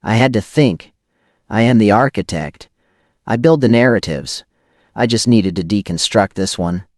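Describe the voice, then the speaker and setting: A man playing a hardboiled noir narrator, highly animated. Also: normal speed, no echo, clean studio sound, natural voice. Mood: calculating. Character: hardboiled, rattled forger of false evidence, cynical first-person narrator. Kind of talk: scripted noir thriller audio drama narration.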